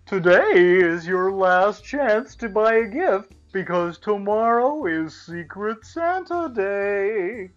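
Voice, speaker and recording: A woman's voice: medium pitch, expressive, takes it slowly, few pauses, muffled recording, soft background music, voice pushed up. A woman voicing a kindly, patient schoolteacher in an undersea storybook, instructive and reassuring. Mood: announcing. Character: kindly female schoolteacher sea creature, patient and announcing.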